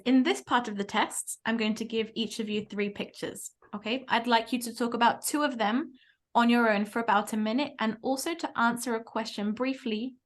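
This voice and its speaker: Upbeat bright-voiced woman teacher. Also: fairly high pitch. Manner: upbeat, clear and encouraging. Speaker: English teacher and examiner. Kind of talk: mock speaking exam, teacherly.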